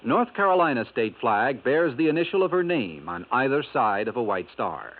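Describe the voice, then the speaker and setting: A male announcer reading an educational radio piece at a measured pace. His pitch moves with lively range. The sound is thin and phone-like, as from an old broadcast. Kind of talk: scripted informational radio segment. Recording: tinny 1950s radio broadcast.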